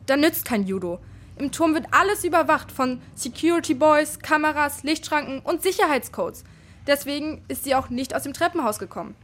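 A woman's voice: sits high, lively intonation, moderate pace. A woman playing an eager schoolgirl double agent in a children's radio play, earnest and insistent. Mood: confiding.

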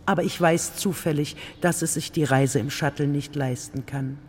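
A man playing a wily, smooth-talking figure pitching an invention in a children's radio play, casual and persuasive. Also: steady tone, moderate pace, long reverb tail, slight background noise, lightly acted. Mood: sly, persuasive.

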